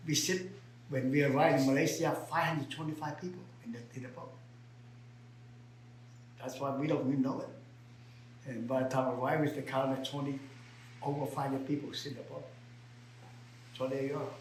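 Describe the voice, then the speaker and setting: A man's voice: mid-range voice, level tone, a little hiss or hum. Older Vietnamese-accented man, echoey room. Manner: halting broken English, many repeats, rising to emphatic shouts. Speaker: interviewee. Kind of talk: personal storytelling.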